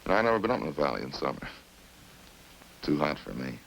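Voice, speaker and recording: Deep, gravelly, smug murder suspect. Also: male voice, sing-song, quick pace, fairly bright tone, exaggerated voice, poor audio. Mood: cagey, evasive. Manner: folksy, casual, cagey, rambling. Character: talkative, smug male murder suspect, coolly needling police. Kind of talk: 1950s radio crime drama acting. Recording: hissy old radio recording.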